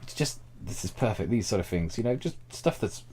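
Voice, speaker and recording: A man with a fairly low voice gives casual, enthusiastic commentary while he plays. His voice is slightly hoarse, as if he is losing it. Faint game ambience sits underneath. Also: expressive, fairly quick, darkish tone, a little hiss or hum. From Britain. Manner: chatty and enthusiastic, thinks aloud. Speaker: streamer.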